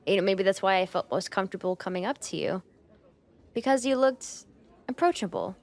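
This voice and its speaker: A woman's voice: mid-range voice, lively intonation, fairly quick, a little mellow, natural voice. Shy, flustered young woman, acted by a woman. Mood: shy, amused. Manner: hesitant, self-conscious rambling with nervous giggles. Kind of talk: roleplay ASMR monologue spoken to the listener.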